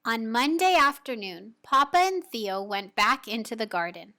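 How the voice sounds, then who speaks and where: A woman reading a children's picture book aloud with deliberate, careful phrasing for young listeners. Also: a little high, sing-song, bright, forceful tone. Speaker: storybook reader. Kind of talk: children's story read-aloud, scripted narration.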